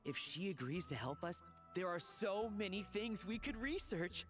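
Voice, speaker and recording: A woman's voice: on the low side, expressive, moderate pace, soft background music, close to an everyday voice. A woman voicing an inquisitive, deferential and bookish young researcher in a video game. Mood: curious, eager. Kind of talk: English game voice acting.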